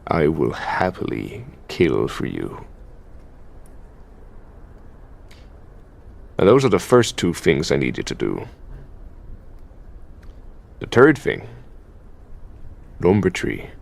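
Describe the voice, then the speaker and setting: A man with an Irish accent playing a possessive, taunting demon lover in an intimate, gravelly ASMR roleplay. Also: extremely low pitch, wildly swooping pitch, exaggerated voice. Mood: possessive, menacing. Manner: commanding, foul-mouthed, taunting, drawn-out delivery. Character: possessive, menacing, teasing male high demon of lust.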